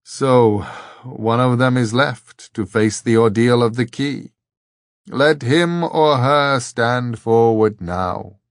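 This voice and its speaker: A man voicing a very old, kindly, solemn abbot in an audiobook, with a deep, reassuring voice and a measured, grave way of questioning. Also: dry recording, studio quality. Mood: regretful.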